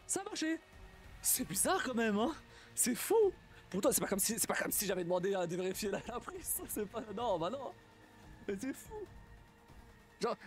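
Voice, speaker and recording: A young man streaming casually and reacting to stories, talking in a halting way, repeating words and laughing often. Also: high voice.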